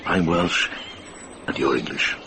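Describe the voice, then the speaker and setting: An actor playing a wise, earnest, middle-aged monk and former soldier in a radio drama. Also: male voice, rumbling low, slow pace, noisy background. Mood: earnest pleading.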